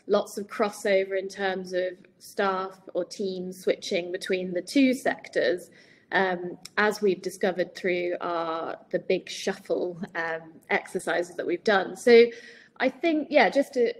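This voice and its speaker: A woman in her forties hosts a webinar over a video call from home. She talks in an easy, chatty way with plenty of ums. A faint room hum sits under her voice. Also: medium pitch. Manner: chatty, many ums, light laughs. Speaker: webinar host. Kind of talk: casual webinar chat. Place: home room with shutters and plants. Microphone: video call laptop mic.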